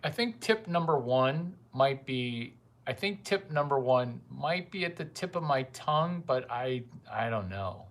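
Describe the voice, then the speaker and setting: A man's voice: mid-range voice, animated, a little slow, few pauses. A man giving a rambling, crude casual monologue full of profane asides.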